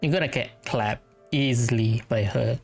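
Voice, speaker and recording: A man's voice: mid-range voice, unhurried, vocal fry, soft background music. A man giving casual playthrough commentary on a game, muttering offhand reactions. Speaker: gamer commenting on gameplay.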